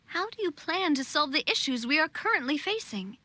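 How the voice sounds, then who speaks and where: A woman's voice: high voice, sing-song, brisk, pushed and bright, dry recording, heavily acted. A woman voicing a young, confident, playful queen in a video game, with spirited but poised delivery. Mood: composed. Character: young female monarch, playful, confident, reassuring.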